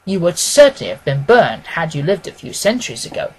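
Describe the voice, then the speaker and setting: A man voicing a mild, puzzled gentleman doctor in an audiobook, earnest and formal as a loyal companion. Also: fairly high pitch, very animated, brisk, husky, rough recording. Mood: protesting. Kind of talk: dramatised audiobook reading.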